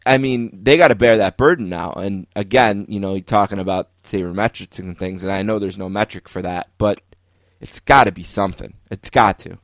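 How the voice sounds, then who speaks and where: A man's voice: lowish voice, fairly quick, dry recording. A man hosting a sports podcast, talking casually as he interviews a guest.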